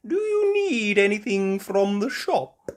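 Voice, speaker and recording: A woman's voice: medium pitch, big pitch swings, slow pace, a little mellow, voice pushed up. A woman voicing a kindly, doting old grandmother pig in a children's storybook, in a sing-song manner. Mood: helpful.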